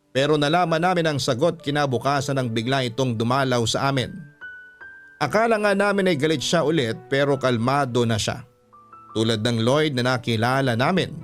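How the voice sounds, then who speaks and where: A man narrating a scripted first-person story in classic radio drama style. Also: mid-range voice.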